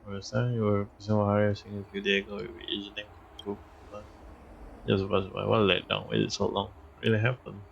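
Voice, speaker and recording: A man's voice: lowish voice, flat delivery, brisk, vocal fry, dull audio, slight background noise. A man streaming a game, casually reacting and muttering along in a loose, mumbled way. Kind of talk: casual reactions and reading along while playing.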